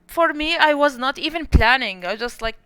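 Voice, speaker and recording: A woman's voice: sits high, lively intonation, a little fast, fairly bright tone, dry recording. A young woman in her twenties streaming a game, chatting casually and giggling while reading chat. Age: 20s.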